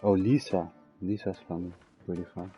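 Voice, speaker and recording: A young man giving casual, halting gameplay commentary as he thinks aloud. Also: deep voice, fairly even intonation, muffled recording, soft background music. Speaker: game streamer.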